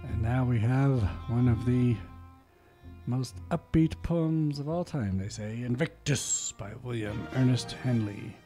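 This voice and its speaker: A man hosting a community radio show, reading poetry theatrically, swelling loud and then dropping to a whisper. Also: medium pitch, a little slow. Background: faint instrumental music.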